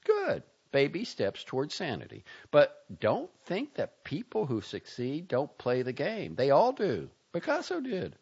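A man voicing a blunt, exasperated young man who lectures his friend loudly and impatiently. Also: a little high, huge pitch swings, a little slow, few pauses, husky, lo-fi sound, pitched above the performer's own voice, exaggerated voice. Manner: loud, sharp and impatient.

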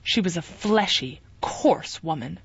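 A woman's voice: fairly low pitch, lively intonation, unhurried, creaky, breathy edge, dry recording, muffled recording, exaggerated voice. A woman reading an anxious, easily upset young woman in a story, her voice quavering and pleading. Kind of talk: audiobook character reading.

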